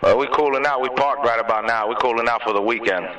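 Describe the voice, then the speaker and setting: A man's voice: medium pitch, fast, pushed and bright. A man from Harlem chatting casually over CB radio in a laid-back, slangy way. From Harlem, New York City. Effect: two-way CB radio. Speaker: visiting CB radio operator. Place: parked vehicle. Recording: noisy, distant-sounding CB radio transmission.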